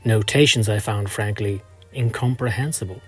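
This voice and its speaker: A man reading a scripted first-person story in a measured, literary manner. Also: on the low side, husky, faint music behind. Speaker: narrator.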